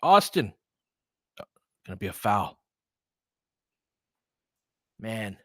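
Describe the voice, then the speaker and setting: A man's voice: on the low side, sing-song, slow pace, pushed and bright, dry recording, studio quality. A man giving live basketball play-by-play and casual analysis as a candid, colloquial fan commentator who reacts openly and swears.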